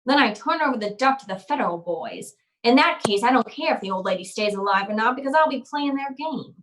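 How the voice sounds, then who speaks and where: A woman's voice: a little high, lively intonation, brisk, a bit bright, slight background noise, low-quality recording. A woman playing a cynical, wry private eye, curt and hardboiled, in an old-time radio noir drama. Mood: cold, hard bargaining.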